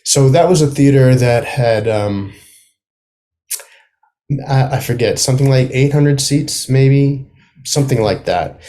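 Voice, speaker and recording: A man's voice: medium pitch, a little mellow. A middle-aged man speaking as a guest in a casual interview, searching for his words with self-deprecating humor. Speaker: guest, playwright.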